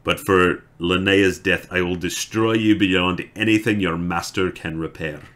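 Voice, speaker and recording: Man reading a grief-struck vengeful hero, soft, low, hushed, deep. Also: fairly even intonation, unhurried. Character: grieving male hero, cold and quietly vengeful.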